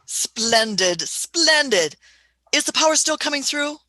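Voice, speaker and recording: A woman plays an eccentric old scientist in a radio sci-fi drama. The voice is crisp-edged and bright, recorded close and dry, with a patronising, testy, courtly fussiness. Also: sits high, animated, unhurried, no echo, heavily acted. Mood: genial. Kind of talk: sci-fi radio play acting.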